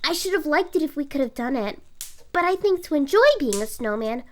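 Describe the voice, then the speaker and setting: A performer playing a gentle, sickly young boy in an audio drama, speaking in an earnest, wistful way. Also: high voice, animated, fairly quick. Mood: delighted, grateful.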